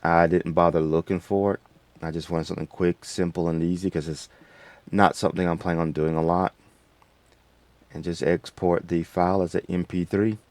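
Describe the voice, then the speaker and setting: A man hosting a casual, unscripted software tutorial in a rambling, folksy way. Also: low-pitched, little pitch movement, normal speed, no room sound. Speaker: tutorial host.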